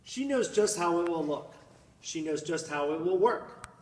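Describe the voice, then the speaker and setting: A man reading a children's picture book aloud in an expressive, dramatic manner, drawing out words and swelling with emphasis. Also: on the high side, unhurried. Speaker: storybook reader. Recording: echoey room, distant mic, faint noise.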